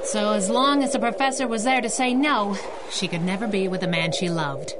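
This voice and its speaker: A woman playing a plucky, sharp-witted young masked superhero sidekick in 1930s radio adventure style. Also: medium pitch, moderate pace, heavy background noise, natural voice. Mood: firm, sympathetic.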